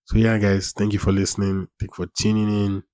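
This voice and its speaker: Low flat-voiced young man. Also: brisk, no room sound. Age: 20s. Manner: rambling, repeats phrases, informal slang. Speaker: podcast host. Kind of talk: casual solo podcast monologue.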